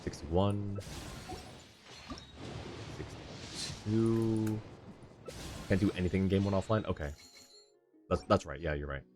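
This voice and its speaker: Male game streamer, low register, off-the-cuff chat-facing commentary, mutters his thinking aloud, music underneath. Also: fairly even intonation, slow, long pauses. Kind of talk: casual livestream gameplay commentary, reacting and talking to chat. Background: video game music.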